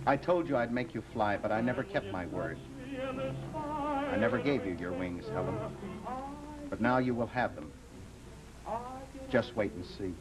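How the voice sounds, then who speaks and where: Desperate, earnest, downtrodden husband. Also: male voice, medium pitch, big pitch swings, unhurried, pauses often, loud music behind the voice. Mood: sad, resolved. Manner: earnest, pleading. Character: desperate, devoted, downtrodden husband and failing bookseller. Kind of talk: old-time radio drama acting. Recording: noisy vintage radio recording.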